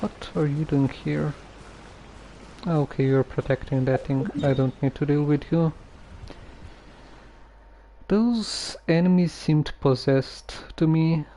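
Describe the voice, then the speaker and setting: Slow, mellow-voiced man streaming a game. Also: fairly high pitch, a little hiss or hum, low-quality recording. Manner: hesitant, halting, musing. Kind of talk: casual game commentary and replies to characters.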